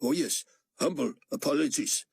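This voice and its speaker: Man doing an apologetic male steward, raised voice, husky, polite. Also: on the high side, huge pitch swings, moderate pace, a little mellow, no room sound, clean studio sound, pitched above the performer's own voice, heavily acted. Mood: polite, anxious.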